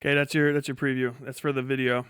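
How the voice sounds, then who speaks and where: A man livestreaming casual commentary, blunt, scornful and full of swearing, rambling in a halting way with false starts and digressions. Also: medium pitch, little pitch movement, over loud music.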